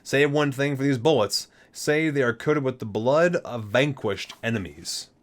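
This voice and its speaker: A man's voice: mid-range voice, unhurried. A man doing an ASMR reading, reciting expressively and dropping in casual, murmured offhand remarks. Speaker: video creator reading aloud from a game guide book.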